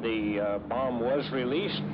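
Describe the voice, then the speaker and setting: A man's voice: medium pitch, takes it slowly, noisy background. A man recalling his service as an airman in an interview. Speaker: veteran airman giving testimony. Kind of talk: recollection in interview. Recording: muffled archive interview.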